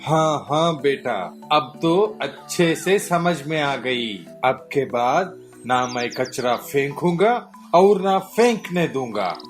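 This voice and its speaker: Woman as a cartoon uncle, male, lowish voice, slow drawn-out delivery, music behind. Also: lively intonation. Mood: sheepish. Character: grumpy male uncle who litters, later sheepish.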